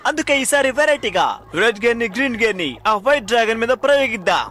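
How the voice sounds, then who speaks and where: A man voicing an eager, chirpy young gadget-tinkering sidekick in a dubbed animated series. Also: very high-pitched, fast talker, exaggerated voice. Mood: excited, chatty. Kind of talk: animated series dub acting.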